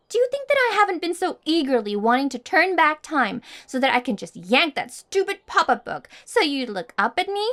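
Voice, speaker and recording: Woman acting a haughty, high-voiced popular girl. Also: fairly bright tone, exaggerated voice. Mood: hurt, possessive.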